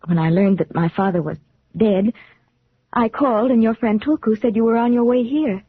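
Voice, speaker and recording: A woman's voice: a little high, normal speed, slightly rough, dry recording. An actress playing an earnest, anxious young woman with a hesitant, sincere manner, in 1940s radio style. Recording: thin phone-like 1940s radio recording.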